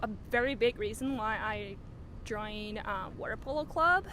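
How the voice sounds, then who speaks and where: A woman's voice: on the high side, normal speed, fairly bright tone, slight background noise. A woman in her twenties from China whose first language is Mandarin, a student speaking casually in a street interview. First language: Mandarin Chinese. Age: 20s. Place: outdoors on a university campus.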